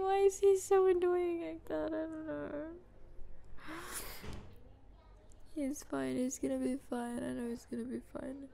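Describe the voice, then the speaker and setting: A woman playing an anxious, grieving young adult in an ASMR roleplay, speaking in a hushed, breathy, halting way. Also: very high voice, slight background noise, exaggerated voice. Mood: flustered, tearful. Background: hospital ambience.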